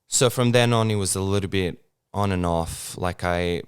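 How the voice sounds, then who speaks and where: A man in his thirties, born in Serbia and speaking, talking about his acting work in a relaxed interview, with lots of fillers and restarts. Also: low-pitched, moderate pace, smooth. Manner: hesitant, many fillers and restarts. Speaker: actor, interview guest. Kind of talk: casual interview conversation.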